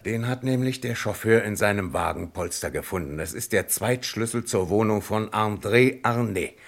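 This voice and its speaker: A man's voice: lowish voice, normal speed. A man acting a shrewd, methodical police commissioner in a 1960s German radio crime play, questioning in a probing, ironic way. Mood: triumphant, pressing. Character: shrewd, methodical male French police commissioner, calmly cornering a suspect.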